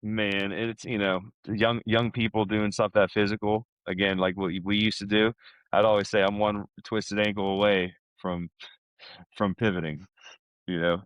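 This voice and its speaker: An American man in middle age talks casually on a podcast. His voice sits on the low side and the sound is dry, with little room in it. He speaks informally, like a buddy catching up. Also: level tone, normal speed, clean tone, dry recording, highs cut off. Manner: informal, buddy-like, peppers talk with "man" and "dude".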